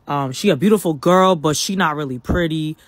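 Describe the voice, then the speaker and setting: Lady doing a petty dating-show dude, flat and blunt, dead dry sound. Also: a little fast, no echo. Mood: deadpan. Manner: lowered voice, blunt, deadpan excuses. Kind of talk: comedy sketch.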